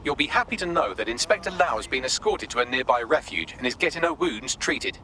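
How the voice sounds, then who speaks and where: Male game AI assistant, crisp and efficient, quick delivery, very animated intonation. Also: fairly high pitch, slight background noise. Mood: brisk. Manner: crisp, formal, chipper briefing. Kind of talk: video game voice acting.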